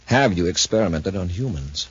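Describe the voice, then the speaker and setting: An actor playing an authoritative, probing space commander in a 1950s radio drama. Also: male voice, sits low, lively intonation, moderate pace, husky. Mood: probing, measured. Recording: dull 1950s radio recording.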